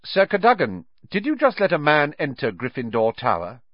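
A man's voice: fairly high pitch, huge pitch swings, moderate pace, dry recording, highs cut off, voice pushed up. A man voicing a stern, indignant older female schoolteacher in an audiobook, with a clipped, scolding manner.

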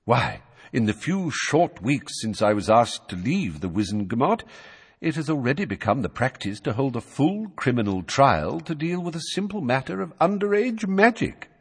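Man doing Dumbledore, a calm courteous old wizard. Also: medium pitch, slightly rough, voice pushed up. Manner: measured, courteous, unhurried. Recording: long hall reverb.

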